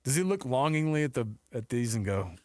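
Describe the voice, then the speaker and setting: Lively, swooping American male sports host. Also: mid-range voice, fairly quick, no echo, studio quality. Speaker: sports talk show host. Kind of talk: casual sports chat, prompting co-host.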